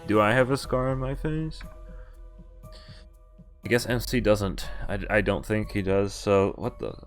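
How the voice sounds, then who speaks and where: Low-voiced man reading a game aloud. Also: soft background music. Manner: halting, often pausing to mutter asides. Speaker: game streamer. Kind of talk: visual novel read-aloud with casual commentary.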